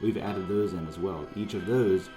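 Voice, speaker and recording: A man's voice: sits low, moderate pace, over music, low-quality recording. A man presenting his own project in casual, conversational promotional narration. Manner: informal, conversational.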